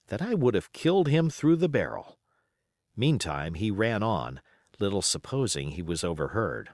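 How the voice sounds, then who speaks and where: A man's voice: fairly low pitch, dry recording, clean studio sound. A man reading a classic adventure novel aloud as scripted narration. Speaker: audiobook reader.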